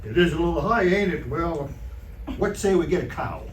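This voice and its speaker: A man's voice: on the high side, lively intonation, moderate pace, natural voice. A man playing a sheepish, folksy husband making excuses in an old-time radio comedy on stage. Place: live theater stage performance. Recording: roomy, distant, noisy stage recording.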